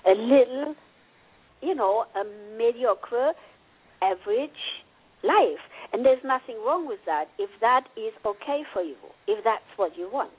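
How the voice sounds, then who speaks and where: A woman's voice: on the high side, animated, a little slow, breathy edge. A woman with a German accent, an author chatting earnestly in an interview and laughing often. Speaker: author and guest. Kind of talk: casual interview chat. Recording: phone-like radio audio.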